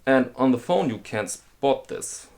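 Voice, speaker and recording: A man with a German accent, hosting a talk video and explaining a scam in a halting way, with many restarts and ums. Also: mid-range voice, expressive, gravelly, a little mellow. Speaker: host. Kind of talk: casual explainer monologue.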